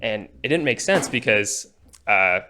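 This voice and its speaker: A young man from Alabama in the United States giving a personal testimony, often halting and restarting his phrases. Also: on the low side, animated, bright, forceful tone, a little hiss or hum. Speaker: church member giving testimony.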